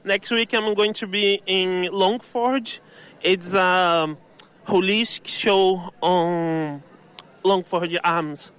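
A young man with an Irish accent, a candle maker at his stall, talking in a halting way and searching for words. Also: high-pitched, steady tone, a bit bright, clean tone. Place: outdoor show stall.

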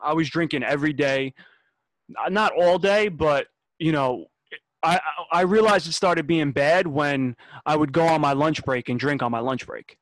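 A man's voice: on the high side, moderate pace, dry recording, low-quality recording. A man in his twenties talking casually on a podcast, laid-back, swearing and restarting his sentences. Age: 20s. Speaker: podcast host.

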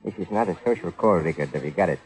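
An actor playing a surly, wary, scheming crook in an old radio crime drama, with a gruff, clipped manner. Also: male voice, sits low, racing, darkish tone, soft background music, lightly acted. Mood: sly, menacing. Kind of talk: 1940s-50s radio crime drama acting. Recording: vintage radio drama recording.

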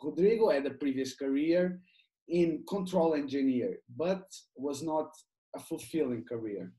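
A man's voice: a little high, unhurried, dark tone, rough recording. A man hosting an interview, speaking with non-native phrasing. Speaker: interview host. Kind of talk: casual interview.

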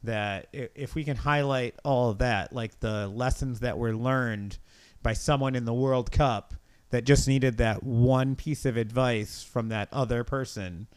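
A middle-aged man talks casually as a podcast host. His voice is clean and smooth, recorded close and dry, and he tells his stories in an earnest, reflective way. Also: mid-range voice, no echo.

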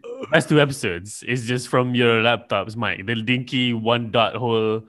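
A man's voice: mid-range voice, normal speed, fairly bright tone. A man co-hosting a podcast over a call, teasing his partner with mock exasperation in casual chat. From Malaysia. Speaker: podcast co-host.

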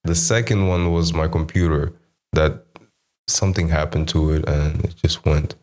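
A young man talking casually about making music, relaxed and repeating his words, slipping Portuguese words into his English. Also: a bass voice, moderate pace. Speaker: musician guest. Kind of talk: casual chat.

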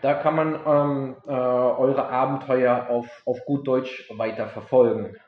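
A man's voice: medium pitch, fairly even intonation, unhurried, echoey room, phone-quality sound. A man hosting a travel podcast from his office, talking casually with many fillers and restarts. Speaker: podcast host, tour operator. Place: office, talking over a call.

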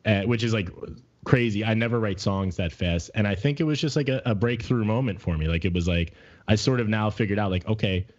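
A middle-aged man with a deep voice talking candidly and casually as a podcast co-host, reflecting as he searches for his words. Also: a little fast, darkish tone, dull audio. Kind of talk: casual personal conversation.

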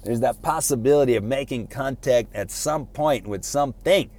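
A man giving an informal motivational lecture in a halting, conversational way, often repeating words and posing rhetorical questions. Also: mid-range voice, a little mellow. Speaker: speaker giving a self-improvement talk.

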